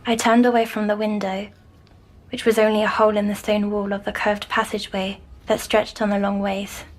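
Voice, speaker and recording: An actress playing a timid young girl troubled by nightmares in an audio drama, speaking hesitantly and softly. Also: medium pitch, level tone, natural voice. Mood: haunted, wondering. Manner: hesitant, halting, softly descriptive. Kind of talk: scripted audio drama acting.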